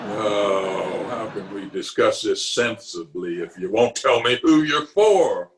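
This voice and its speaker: Man acting as pompous middle-aged official, blustery, exasperated, wildly animated pitch, old-time radio comedy, roomy video-call sound. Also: takes it slowly, slight background noise. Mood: badgering. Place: home, recorded over video call.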